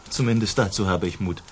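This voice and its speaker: A man's voice: lowish voice, a little hiss or hum, natural voice. An actor playing a suave, self-assured nobleman in a radio play, speaking with polite composure. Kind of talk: German radio play acting.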